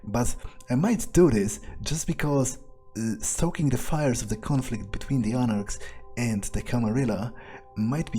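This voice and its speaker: Husky guy roleplaying an arrogant sweary vampire, dark mellow voice, scornful muttering. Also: fairly low pitch. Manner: profane, scornful, muttering to himself. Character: arrogant, scheming, foul-mouthed male vampire. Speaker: gamer playing in character. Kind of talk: in-character roleplay monologue over gameplay.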